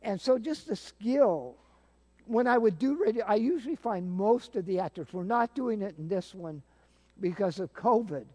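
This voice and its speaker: A man's voice: high-pitched, mellow. An older man casually explaining and demonstrating things as a guest. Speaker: guest, theater sound-effects practitioner.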